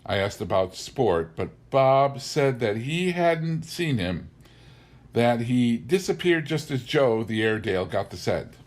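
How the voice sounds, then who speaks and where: A man narrating a humorous memoir as an audiobook, with wry, measured storytelling. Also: medium pitch, very animated. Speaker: audiobook reader.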